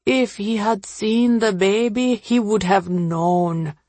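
Lady doing a sad old guy confessing in an audiobook, slow, tearful, halting, dry close sound. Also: mid-range voice, creaky, no echo. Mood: pained, remorseful. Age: older. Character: grief-stricken guilt-ridden elderly Indian man confessing a secret. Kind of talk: audiobook character acting.

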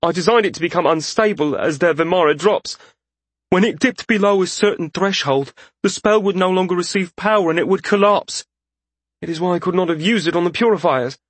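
A man's voice: high-pitched, fairly quick, breathy edge, dry recording, pitched above the performer's own voice. A man voicing an earnest, troubled young male mage in a fantasy audiobook, explaining himself with anguish. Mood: earnest, grave.

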